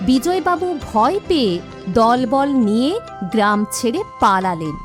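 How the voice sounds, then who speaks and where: A woman's voice: on the high side, very animated, a little slow, music in the background. A woman narrator reading a scripted story for a cartoon.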